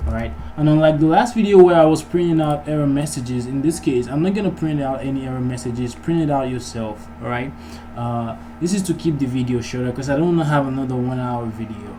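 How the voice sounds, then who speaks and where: Man, mid-pitched voice, informal coding tutorial host, thinking aloud, clearly noisy recording with keyboard typing. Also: fairly quick, few pauses, mellow. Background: background noise, computer keyboard typing.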